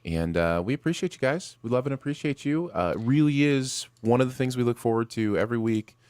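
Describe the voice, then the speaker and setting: A man's voice: mid-range voice, expressive, a little fast, darkish tone, clean tone, dry recording. A man co-hosting a casual live show, agreeable and appreciative as he chats with the audience. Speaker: co-host of a live stream and podcast. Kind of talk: casual livestream chat.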